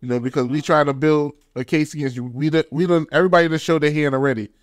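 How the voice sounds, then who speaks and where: A man's voice: fairly high pitch, fairly even intonation, fast, no room sound. Emphatic man in dry studio chat. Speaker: podcast guest. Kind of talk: casual chat. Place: small studio with foam panels and curtains.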